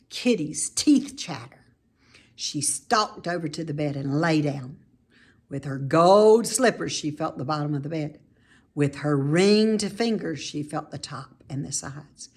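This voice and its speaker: A woman reading a children's story aloud expressively, with dramatic, drawn-out emphasis on key words. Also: lowish voice, husky. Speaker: storybook reader.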